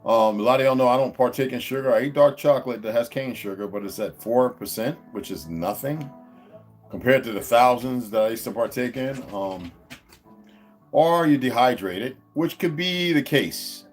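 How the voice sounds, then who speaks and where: A man's voice: moderate pace, soft background music. A man chatting casually to his viewers at home, wandering from topic to topic with many restarts. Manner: meandering, restarts, talks to his dogs in a high coaxing voice. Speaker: vlogger. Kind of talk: rambling casual vlog to viewers. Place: indoors at home.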